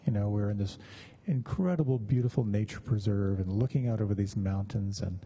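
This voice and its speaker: A man with a deeply mellow, relaxed voice that is husky and edged with creak. He talks like a meditation teacher sharing stories with students. His delivery is measured and halting, with room left between phrases. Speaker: meditation teacher giving a talk. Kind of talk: conversational dharma talk with personal stories.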